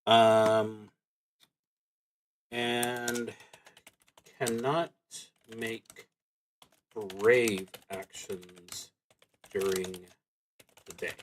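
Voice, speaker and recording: Slow, halting man thinking aloud. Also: on the low side, fairly even intonation, a little hiss or hum, rough recording. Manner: halting, deliberate, musing to himself. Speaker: host of a solo roleplaying livestream. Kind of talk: thinking aloud while playing a solo tabletop game.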